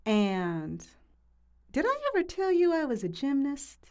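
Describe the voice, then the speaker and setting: A woman's voice: high-pitched, big pitch swings, unhurried, dark tone. A woman playing a frisky, confident small-town wife in a comic audio drama. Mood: sultry, teasing.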